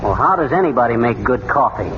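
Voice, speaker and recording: An actor playing a blustery, good-natured, scheming small-town husband who wisecracks in folksy fashion in 1930s radio comedy. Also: male voice, mid-range voice, animated, brisk, a bit bright, natural voice. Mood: wisecracking. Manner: folksy, muttering to himself, wisecracking. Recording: very noisy, muffled 1930s radio broadcast.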